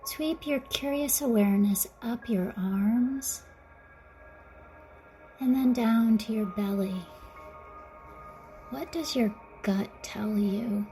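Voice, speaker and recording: A woman guiding a mindfulness meditation in a gentle, soothing, softly spoken way, with breathy phrase endings. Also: a little high. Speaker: meditation guide. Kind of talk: guided mindfulness meditation narration.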